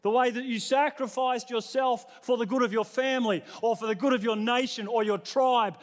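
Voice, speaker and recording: An Australian man, a middle-aged pastor preaching in a conversational, self-deprecating manner, building points through repeated phrases. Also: very high voice. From New South Wales, Australia. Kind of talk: sermon to a congregation. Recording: long reverberant hall sound.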